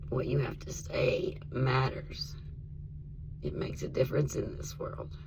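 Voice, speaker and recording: A man from Tennessee, his voice rough and in need of rest, talking casually to his viewers and often dropping to an earnest whisper. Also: medium pitch, fairly even intonation, a little slow, rough recording. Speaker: vlogger. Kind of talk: casual spiritual vlog and storytime.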